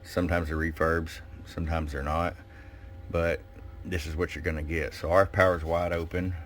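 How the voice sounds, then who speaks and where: A man giving a casual, hands-on product review in a folksy, unhurried way with pauses. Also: extremely low pitch, level tone, fairly bright tone. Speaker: shop owner presenting product. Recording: clear background noise and room ambience. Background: background noise.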